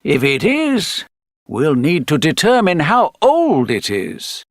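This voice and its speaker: A man voicing a calm, informative paleontologist in a storybook, explaining in a measured way. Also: a little high, no echo, studio quality, pitched above the performer's own voice, heavily acted. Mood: considering. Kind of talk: storybook character reading.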